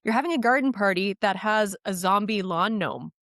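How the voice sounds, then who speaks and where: This is a woman hosting a casual podcast, with a friendly and enthusiastic way of talking. Her voice sits at a middling pitch for a woman. The recording is dry and close, with almost no room sound. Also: expressive, normal speed, a bit bright, no room sound. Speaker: podcast host, landscape designer.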